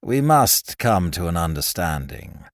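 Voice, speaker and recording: A man voicing a languid, smug young man laid up in bed, who speaks in a quiet, slow, patronizing drawl. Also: low-pitched, very animated, creaky, no room sound, clean studio sound, pitched below the performer's own voice, exaggerated voice.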